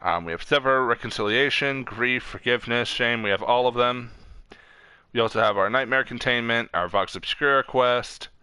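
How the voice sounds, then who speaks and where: A man's voice: mid-range voice, moderate pace, pushed and bright, clean tone, dry recording. A man hosting a casual gaming stream, reading off lists informally, stumbling over words and correcting himself. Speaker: streamer host.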